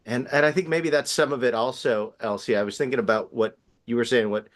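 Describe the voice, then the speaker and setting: A man in a casual panel conversation, talking in halting bursts and often checking for agreement. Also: mid-range voice, fairly even intonation. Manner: halting, seeks agreement with frequent "right?".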